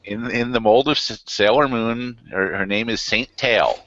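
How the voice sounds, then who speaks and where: A man's voice: medium pitch, normal speed, fairly bright tone, dry recording. A man in a casual joking group chat, telling things in a wry, mock-serious way. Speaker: podcast panelist. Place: group internet voice call. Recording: dull lo-fi online voice call.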